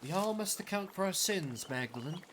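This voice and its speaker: Man voicing a gentle former nun, lilting higher voice. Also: lively intonation, noisy background. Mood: solemn, penitent. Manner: measured, solemn, formal. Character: gentle, devout former nun, earnest and penitent.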